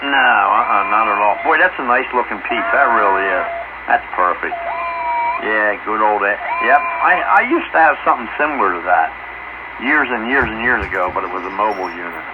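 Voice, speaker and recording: Low-voiced man on a noisy radio. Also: lively intonation, fairly quick. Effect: two-way radio transmission. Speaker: amateur radio operator. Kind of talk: casual radio chat. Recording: very noisy, muffled two-way radio.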